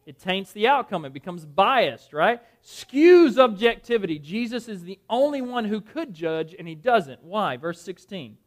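A man preaches in a high, light voice. He keeps it conversational, firing questions at his listeners and doubling back on words as he goes. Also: sing-song, a little slow, few pauses. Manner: conversational, quizzes the audience, repeats words. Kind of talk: interactive Bible-study sermon. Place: dim room with a live audience.

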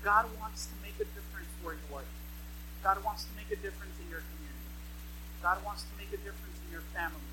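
A woman's voice: mid-range voice, fast talker, noisy background. A woman in her mid-thirties preaching a sermon to a congregation, with emphatic repeated phrases and rhetorical questions.

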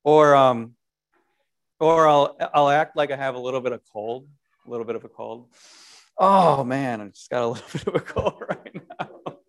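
A man preaching an Easter sermon as pastor, animated, moving between loud proclamation and quiet, self-deprecating conversational asides. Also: medium pitch, moderate pace, pauses often. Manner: animated preaching with wide swings in loudness.

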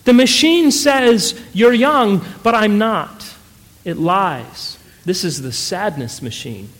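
A weary, disillusioned middle-aged wife from a story reading, acted out by a man. The voice is high and exclaiming, with folksy plain speech. It rings with long reverb in a hall. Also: animated, slow, a bit bright, full character voice. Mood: tearful, upset.

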